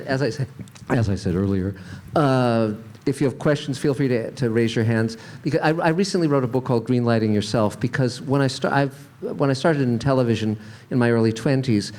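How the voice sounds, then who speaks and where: A man's voice: medium pitch, a little mellow. An older man hosting a convention panel, talking casually with frequent restarts and fillers. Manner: halting, many restarts and fillers. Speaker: panel host, showrunner.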